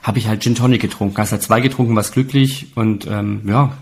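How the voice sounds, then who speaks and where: A man's voice: on the low side, steady tone, a little fast, a little hiss or hum. A man in his late thirties telling anecdotes in a chatty, colloquial, casual way. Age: late 30s.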